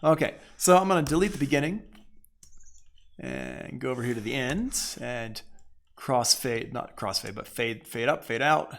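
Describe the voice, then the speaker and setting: A man giving a casual software tutorial as a music production teacher, informal and conversational, thinking aloud. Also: mid-range voice, normal speed, vocal fry.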